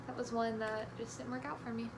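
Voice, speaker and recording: A woman's voice: on the high side, flat delivery, a little fast. A young woman chatting casually about books as a guest, giving her opinions a little tentatively. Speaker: guest, friend of the vlogger. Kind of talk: casual book chat. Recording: clearly noisy room recording.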